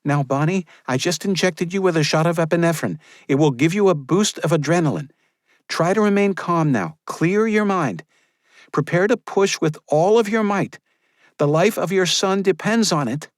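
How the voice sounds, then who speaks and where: A man's voice: on the high side, lively intonation, normal speed, no room sound, studio quality, pitched above the performer's own voice, lightly acted. A man voicing an urgent, commanding woman midwife.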